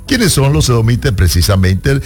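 A man hosting a religious radio show, explaining scripture emphatically and slowing down to stress key points. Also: mid-range voice, big pitch swings, breathy edge, soft background music. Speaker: radio Bible program host. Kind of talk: preaching talk show with callers.

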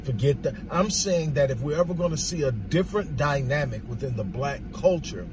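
Hoarse man talking over loud traffic. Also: fairly high pitch, lively intonation, brisk, far from the mic. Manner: emphatic, repeats rhetorical phrases. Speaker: vlogger giving social commentary. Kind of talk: off-the-cuff monologue. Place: on the move, outdoors near traffic.

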